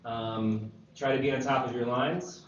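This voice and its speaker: A man's voice: medium pitch, fairly even intonation, unhurried. A man casually briefing a group as an organiser, with informal, laid-back phrasing. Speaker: screenwriter and table read organiser. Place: echoey indoor room with a group. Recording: dull, echoey, noisy room recording from far off.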